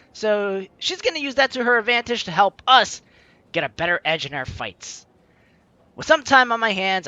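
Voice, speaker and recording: A young man plays through a story game with bright, forceful energy. His pitch swings widely as he chats playfully and reads out lines dramatically, with music running behind him. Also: no echo, highs cut off. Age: young adult. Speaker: let's player hosting a game series. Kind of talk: lively game commentary, reading dialogue aloud.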